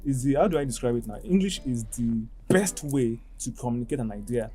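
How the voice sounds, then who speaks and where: A man's voice: mid-range voice, expressive, brisk, over music. A man hosting an interview, asking probing follow-up questions. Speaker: host. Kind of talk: casual interview conversation.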